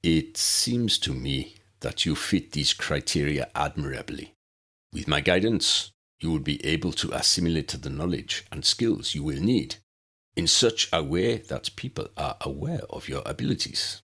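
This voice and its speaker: A grave, measured minister for a storybook, acted by a male narrator. The voice is very deep and a little rough. He speaks formally and deliberately, with solemn authority. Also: lively intonation, a bit bright, exaggerated voice.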